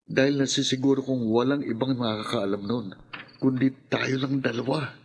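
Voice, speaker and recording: A man's voice: medium pitch, steady tone, normal speed, slightly rough. Pushy, secretive young man. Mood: insistent, warning. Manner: insistent, brusque. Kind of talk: Filipino radio drama acting.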